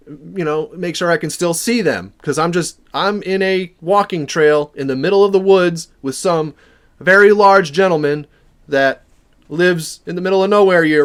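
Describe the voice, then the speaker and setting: Higher-voiced man telling a casual story. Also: clear, resonant tone. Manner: acts out dialogue within the story. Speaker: video creator telling a personal story.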